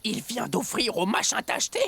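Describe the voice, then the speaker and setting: An actor voicing a sly scheming weasel in a cartoon, sneaky and cackling. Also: male voice, high voice, moderate pace, gravelly, pushed and bright, a little hiss or hum, full character voice. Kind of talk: cartoon voice acting.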